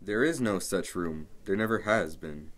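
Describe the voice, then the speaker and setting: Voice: deep voice, lively intonation, moderate pace. An actor playing a curt, dismissive ship's steward in a radio horror play. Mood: flat, dismissive.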